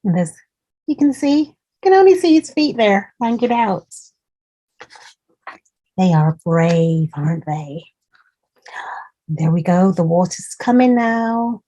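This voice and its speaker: A woman reads a picture book aloud to small children at an unhurried pace. Her voice is mellow and a little husky, and she adds encouraging asides about the details as a storytime host would. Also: mid-range voice, very animated. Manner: encouraging, pointing out details to young listeners.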